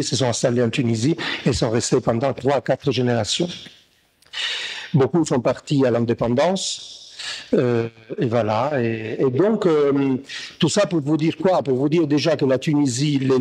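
A middle-aged man from Sicily, a native Italian speaker, giving a halting conference talk at a desk mic with many fillers and restarts. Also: mid-range voice, a little mellow, breathy edge. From Sicily, Italy. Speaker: guest speaker, academic. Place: ornate tiled room indoors. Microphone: desk gooseneck mic.

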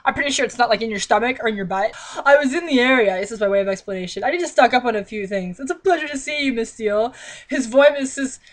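A woman's voice: fairly high pitch, fast talker. A young woman reading a book aloud and mocking it with sarcastic, exasperated, theatrical commentary, recorded on a webcam in a small bedroom. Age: young adult.

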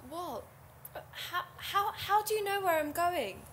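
Alarmed, high-voiced, suspicious young-sounding investigator. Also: female voice, lively intonation, slow pace, fairly bright tone, slight background noise, heavily acted. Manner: stammering, challenging. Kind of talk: audio drama acting.

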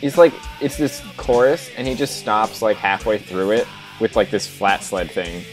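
A man's voice: on the low side, moderate pace, low-quality recording. A young man co-hosting a casual video-call chat, speaking loosely with lots of filler "like". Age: young adult. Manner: loose speech heavy with "like". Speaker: co-host of a track review stream. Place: home room on a group video call. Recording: music playing behind the voice. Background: plucked guitar music.

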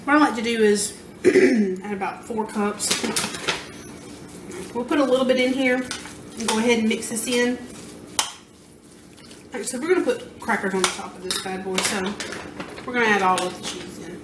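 A chatty woman in her thirties talks through a recipe in a roomy home kitchen, her slightly high voice caught by a camera mic across the room. She jokes at her own slips as she works, and pots and utensils clatter behind her. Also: normal speed, pauses often, recorded from a distance, background noise. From American South. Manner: chatty and self-deprecating, talking while working. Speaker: home cooking vlogger. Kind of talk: casual cooking demonstration. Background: clinking pots, pans and utensils.

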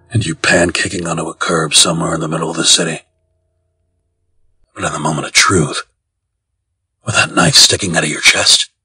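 A man's voice: low-pitched, pushed and bright, husky, exaggerated voice. A man playing a grim, tormented masked vigilante in a comic dub, speaking in a restrained, solemn growl. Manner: restrained, solemn, brooding delivery. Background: music.

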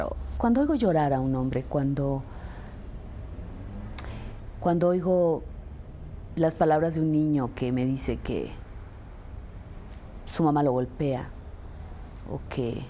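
A middle-aged woman with a Mexican accent speaking reflectively in an interview, deliberate and expressive, pausing for emphasis. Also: very deep voice, a little hiss or hum. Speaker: radio presenter. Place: furnished indoor sitting room. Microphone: lapel mic.

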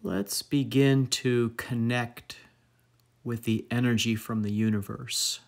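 Male meditation guide, mid-pitched, very slow measured delivery, lingering pauses between phrases. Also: fairly even intonation.

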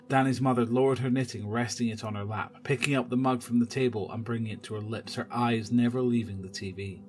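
A man reading scripted narration for an audio drama. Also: medium pitch.

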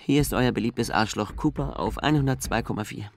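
An actor playing a cynical, resigned late-night radio host in a radio drama, talking in a sardonic, coarse, casual way. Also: male voice, medium pitch, fairly quick, background noise, close to an everyday voice. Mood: bitter, sardonic. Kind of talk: German radio drama acting.

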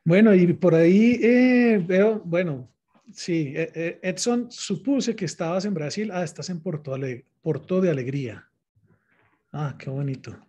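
A man's voice: high-pitched, measured pace, warm tone. A middle-aged man with a Colombian accent, born in Mexico, hosting an online talk and greeting each participant by name over a headset. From born in Mexico, raised in Colombia. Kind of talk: relaxed video-call welcome. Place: home room with closed blinds. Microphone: headset on a video call. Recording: muffled video-call audio.

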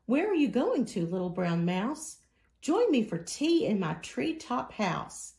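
A woman voicing a flighty storybook owl with drawn-out, hooting delivery. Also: a little high, very animated, gravelly, a little mellow, reverberant, full character voice. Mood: inviting. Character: hungry owl, inviting, then timid and flustered.

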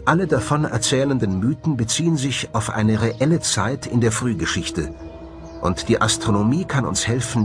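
A man presenting scripted documentary narration in an expressive, dramatic manner. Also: lowish voice, close to an everyday voice. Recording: music under the voice. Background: music.

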